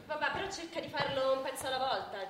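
An actress playing a practical young daughter in a radio drama, speaking colloquially. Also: female voice, sits high, quick pace, a bit bright. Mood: practical, concerned. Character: practical young daughter helping her anxious father. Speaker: actor in radio play. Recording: echoey, distant, noisy recording.

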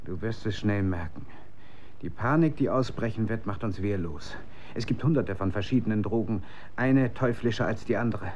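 An actor in a German radio drama playing a troubled former drug user who doubts his reality, speaking in a brooding, halting way. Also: fairly low pitch, darkish tone, slight background noise, natural voice. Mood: alarmed. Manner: brooding, halting, searching for words.

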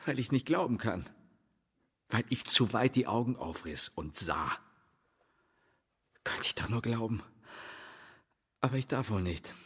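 Brooding tormented husband, radio drama, declamatory, resigned, rough-edged voice, echoing hall. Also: male voice, mid-range voice, expressive, fairly bright tone, heavily acted. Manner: solemn, declamatory, halting dramatic delivery. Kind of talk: literary radio drama acting. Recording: long hall reverb.

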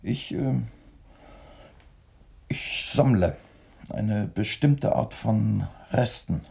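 Casting: younger editor, weary and deadpan, lowish husky voice, quietly defiant, radio drama. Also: male voice, extremely slow, full character voice. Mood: quiet, confessional. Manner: laconic, deadpan. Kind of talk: German radio play acting.

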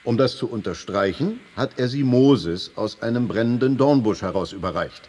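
A prison chaplain in a radio play, ringing in a long reverb. His voice is husky and very animated. He is brisk and businesslike, handing out doctrine in short, glib, teacherly pieces. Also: medium pitch. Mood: brisk, instructive. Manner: short didactic phrases, checking with "okay". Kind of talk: German radio drama acting.

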